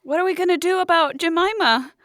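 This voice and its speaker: A woman's voice: very high voice, brisk, fairly bright tone. A woman improvising in-character dialogue as a player in a roleplay podcast.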